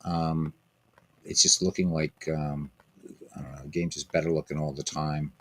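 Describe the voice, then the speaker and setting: A man's voice: very deep voice, flat delivery, moderate pace, fairly bright tone, no echo. A man hosting a casual live stream of a baseball game, rambling, repeating himself and chatting with viewers by name.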